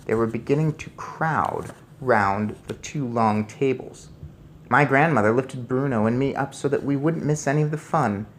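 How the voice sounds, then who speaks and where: A man's voice: mid-range voice, slight background noise. A man narrating a children's story expressively as he reads aloud. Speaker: storybook reader.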